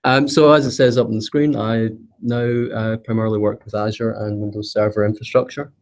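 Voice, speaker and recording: Scottish man, low voice, halting delivery. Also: level tone. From Scotland. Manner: hesitant, filler-heavy, light self-deprecating humor. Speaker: presenter. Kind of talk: technical meetup talk. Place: meetup room, also streamed online.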